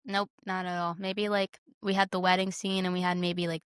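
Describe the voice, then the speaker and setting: A woman's voice: fairly low pitch, little pitch movement, normal speed, a bit bright, smooth, dry recording, clean studio sound. A woman co-hosting a podcast, talking casually about a film in a blunt, slangy, informal manner. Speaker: podcast co-host.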